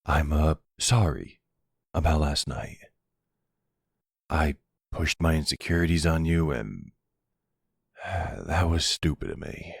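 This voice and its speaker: Guy doing a mafia boyfriend for asmr roleplay, deep n slow, soothing and charming. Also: creaky, husky, no room sound, studio quality, exaggerated voice. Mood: tender, apologetic. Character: charming male mobster boyfriend, tender and soothing.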